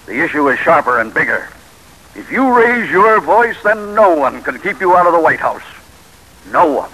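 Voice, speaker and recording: An actor playing an earnest political ally who presses and persuades urgently, in an old-time radio drama. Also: male voice, on the high side, very animated, normal speed, sharp tone, slight background noise, low-quality recording. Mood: urgent, persuasive.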